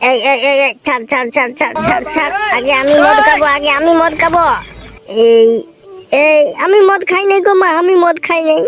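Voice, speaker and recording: A man voicing a grumpy, slow-witted young village man in a comic cartoon, with a Purulia Bengali accent and a pitched-up voice, protesting and repeating himself. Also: a bit bright. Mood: pleading, sleepy.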